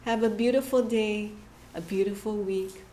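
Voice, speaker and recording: A woman giving a short inspirational church message, gentle and halting, often laughing at herself. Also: medium pitch, steady tone. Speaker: church speaker. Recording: echoey hall with faint room noise.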